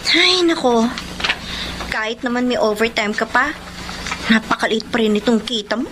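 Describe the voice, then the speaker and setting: An actress playing a discontented, nagging working wife in a Filipino radio drama, complaining expressively. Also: female voice, on the high side, normal speed, close to an everyday voice. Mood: complaining, dissatisfied. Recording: clearly noisy radio drama audio.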